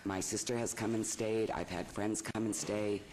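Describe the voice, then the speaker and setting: A man with a low, quiet voice speaking hesitantly with many ums as he appeals a fine at a city council hearing. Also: fairly even intonation, normal speed, a little hiss or hum, rough recording. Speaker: property owner appealing a fine. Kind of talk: public testimony.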